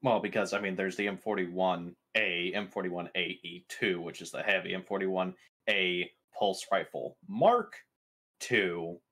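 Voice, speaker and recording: A man's voice: low-pitched, steady tone, a little slow, a bit bright. A man chatting casually as a player in a tabletop roleplaying game. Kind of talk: casual gaming chat.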